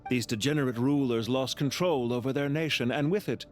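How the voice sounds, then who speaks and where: A man playing a calm, courteous, scheming villain officer in a video game, speaking in a polite, formal, measured and theatrical way. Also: mid-range voice, soft background music, close to an everyday voice. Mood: sly, storytelling. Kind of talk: scripted video game voice acting.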